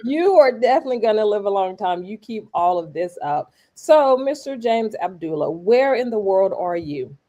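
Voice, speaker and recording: A woman's voice: mid-range voice, big pitch swings, normal speed, hardly any pauses, mellow, highs cut off. A woman hosting a podcast, thanking her guest and asking questions in a gracious way. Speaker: podcast host. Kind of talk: casual interview.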